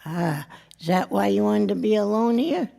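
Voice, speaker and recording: An actress playing a blunt, worldly older married woman in an intimate audio drama, with a husky, creaky voice and a wry, halting manner. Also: medium pitch, dark tone. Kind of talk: intimate two-person audio drama acting.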